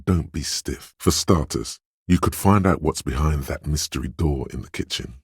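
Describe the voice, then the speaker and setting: A man voicing a suave, wry inner voice of a detective in a video game, narrating in a measured, knowing way. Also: rumbling low, a little mellow, slightly rough, dry recording, studio quality, heavily acted. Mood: sly, urging. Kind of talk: second-person game narration.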